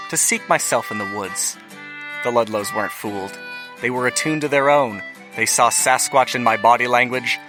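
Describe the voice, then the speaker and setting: Guy voicing a kid brother writing a letter to his late big brother, earnest, mid pitch, music behind. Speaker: narrator reading a letter. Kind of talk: scripted audio drama narration.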